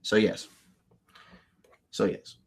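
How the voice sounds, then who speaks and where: A man hosting a casual livestream, who laughs often, repeats himself and answers his chat. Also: extremely slow. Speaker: livestream host.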